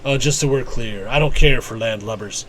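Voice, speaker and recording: A man's voice: medium pitch, moderate pace, a bit bright, slight background noise, close to an everyday voice, poor audio. A man voicing a surly, insolent rogue in a fantasy game, scornful and dismissive.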